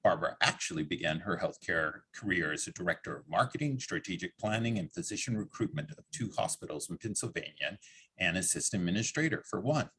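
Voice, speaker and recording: A man moderating a session, reading out a guest speaker's introduction in a steady, list-like way. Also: low voice, fast talker, husky, rough recording.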